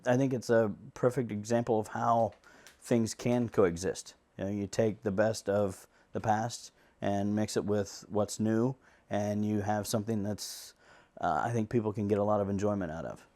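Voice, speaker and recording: Mellow low-voiced man reminiscing. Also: normal speed, hardly any pauses. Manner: relaxed, reflective talk. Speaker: radio theater troupe member. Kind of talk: casual interview.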